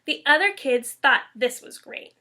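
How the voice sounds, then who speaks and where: A woman's voice: high voice, animated, measured pace, sharp tone. A woman teacher reading a children's picture book aloud with expression.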